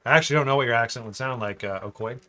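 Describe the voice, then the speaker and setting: A man's voice: medium pitch, very fast, bright, forceful tone. A man hosting a livestream, chatting casually and thinking aloud as he builds, reading out chat messages and joking with viewers. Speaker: livestream host building a model.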